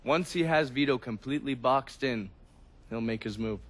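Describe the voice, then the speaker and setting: Man acting as measured, sardonic mob intelligence informant. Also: medium pitch, a bit bright, natural voice. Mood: dry, knowing. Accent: American. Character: male informant briefing on mob rivals, dry and knowing. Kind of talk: video game cutscene dialogue.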